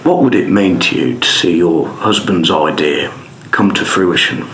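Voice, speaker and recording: A man's voice: low voice, measured pace, fairly bright tone, a little hiss or hum, rough recording. A man reporting for a radio documentary, reading scripted narration and putting interview questions.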